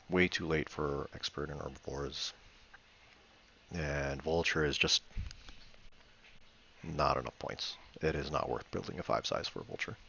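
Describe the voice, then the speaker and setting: A man's voice: extremely low pitch, gravelly, a bit bright, highs cut off, a little hiss or hum. A man giving casual commentary on a board game replay, thinking aloud in a hesitant, matter-of-fact way. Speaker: board game player reviewing own replay.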